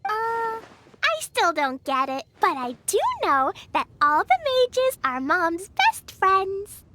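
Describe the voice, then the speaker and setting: A woman voicing a small, childlike fairy companion in an anime video game, excitable, easily confused and childish in manner. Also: very high-pitched, takes it slowly, background noise, heavily acted. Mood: unsure. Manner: childish, refers to self in third person.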